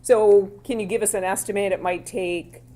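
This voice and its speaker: A woman attorney questions a witness in court in a loud, mid-pitched voice. She keeps her tone conversational and plainspoken, firing off quick follow-up questions. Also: fairly even intonation.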